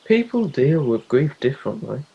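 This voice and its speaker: A man's voice: medium pitch, very animated, normal speed, creaky, breathy edge, muffled recording, exaggerated voice. A young man in a youth theatre play acting a worried, caring teenage friend, earnest and pleading. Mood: gentle, reflective. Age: young adult.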